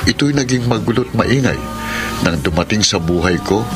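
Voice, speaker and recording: An actor playing a weary, fed-up boyfriend in a Filipino radio drama. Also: male voice, lowish voice, animated, normal speed, music in the background, lightly acted. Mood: weary narration. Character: exasperated, weary boyfriend, letter writer telling his love story.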